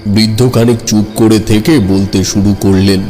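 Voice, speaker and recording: A man narrating a scripted horror story in the first person, measured and suspenseful. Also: lowish voice, steady tone, warm tone. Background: background music.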